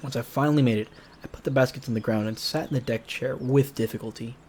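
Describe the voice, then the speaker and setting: A man's voice: mid-range voice, fast, a little mellow, slightly rough. A man narrating a visual novel aloud as a let's player, casual and slangy, with joking asides. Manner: jokey, slangy. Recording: music behind the voice.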